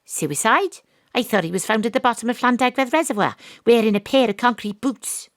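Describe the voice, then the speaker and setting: Female private eye played by an actress, Welsh accent, deadpan noir parody, sceptical, very dry close sound. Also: a little high, fairly quick, no room sound, close to an everyday voice. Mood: probing, incredulous. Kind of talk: noir-parody audio drama narration and dialogue.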